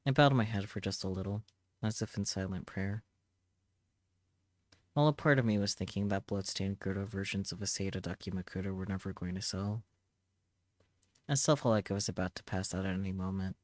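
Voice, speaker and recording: A man reading a visual novel aloud as dramatic first-person narration, solemn and measured, in short halting phrases. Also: low-pitched, steady tone, no room sound, studio quality.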